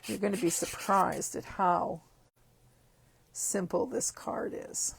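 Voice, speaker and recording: A hoarse woman with a croaky voice, chatting upbeat through a card-making tutorial step by step. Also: mid-range voice, steady tone, slow pace, dry recording. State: hoarse, losing her voice. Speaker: craft demonstrator.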